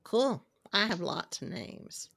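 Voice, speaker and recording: A woman talks as a podcast guest in a chatty, eager way. Her voice is going hoarse as she loses it. The sound is dry and close, with no room echo. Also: mid-range voice, sing-song, slow pace, a bit bright. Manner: chatty, recommends people with enthusiasm. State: losing her voice. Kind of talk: casual phone-style interview chat.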